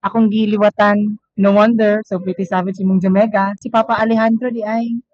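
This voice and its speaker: A woman's voice: medium pitch, level tone, fairly quick, a little mellow, natural voice. A woman playing a teasing, chatty teenage student in an amateur radio drama, with breezy banter and a Filipino accent. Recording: muffled lo-fi recording.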